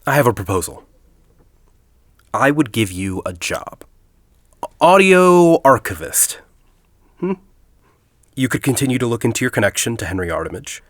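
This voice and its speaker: A man playing a composed, persuasive university headmaster in an audio drama, speaking in a formal, deliberate way. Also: mid-range voice, very animated, no echo, studio quality. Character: composed, persuasive university headmaster, grieving a colleague, quietly calculating.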